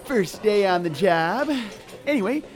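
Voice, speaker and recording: A woman's voice: medium pitch, expressive, measured pace, soft background music, natural voice. A woman voicing a nervous, chatty young woman on her first day at a job, fumbling her words. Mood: flustered. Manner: fumbling and talkative.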